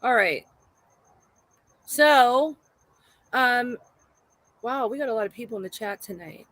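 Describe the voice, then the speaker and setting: A woman co-hosting a casual livestream chat, playful and quick to greet viewers in the chat by name. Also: a little high, lively intonation, a bit bright, no echo, low-quality recording. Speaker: co-host.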